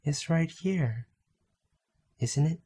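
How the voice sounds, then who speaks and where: A man voicing a gentle, selfless young female goat in an anime fan dub, speaking softly and tenderly. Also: on the high side, very slow, slightly rough, heavily acted.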